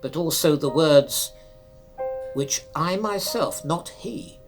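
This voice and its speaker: Slow, solemn male storyteller. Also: a little high, over music. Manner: solemn, measured, theatrical phrasing. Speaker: narrator reading a classic ghost story. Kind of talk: dramatic literary narration.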